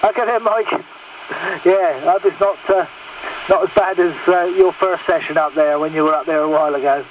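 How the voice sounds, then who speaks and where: A man's voice: fairly high pitch, fairly even intonation, a little fast. A man chatting casually as a CB operator, through a distant, muffled, noisy radio transmission.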